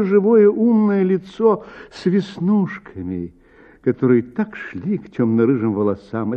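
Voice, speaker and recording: A man reading a literary short story as a radio narrator, with measured, dramatic phrasing and drawn-out words. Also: mid-range voice, dull audio.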